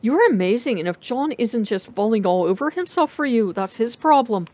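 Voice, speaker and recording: A woman voicing an excitable, nosy young female roommate with a gushing, exaggerated manner in a story reading. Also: a little high, normal speed, muffled recording, close to an everyday voice. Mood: teasing, encouraging.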